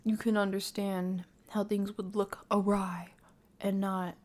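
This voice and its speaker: A woman's voice: medium pitch, little pitch movement, a little slow, darkish tone. A woman livestream host giving casual live reaction commentary, thinking aloud in unfinished, circling sentences.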